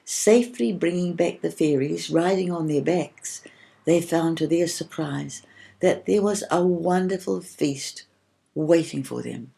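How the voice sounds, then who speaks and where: Female storybook reader: low-pitched, soft dark tone, creaky edges, expressive delivery of a scripted children's tale. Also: measured pace. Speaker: audiobook reader.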